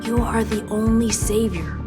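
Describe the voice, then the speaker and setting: A woman's voice: on the high side, normal speed, loud music behind the voice, lightly acted. A woman playing a solemn, mysterious teller of tragic destiny in a video game, grave and dramatic.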